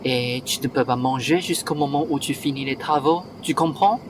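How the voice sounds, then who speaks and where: A man's voice: medium pitch, moderate pace, background noise, natural voice. A man playing a harsh, bullying head of household who scolds and gives orders in a student radio play. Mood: scolding, stern. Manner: scolding, domineering.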